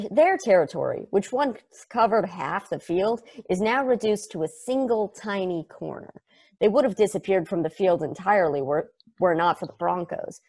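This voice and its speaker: Woman as an earnest superfan explaining a game, a bit of fry in the voice, a stumbling, devoted lecture. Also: on the low side, mellow, full character voice. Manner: earnest, rambling, self-correcting. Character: eager, nerdy fan explaining a strange game. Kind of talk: table read of a stage play. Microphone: video call.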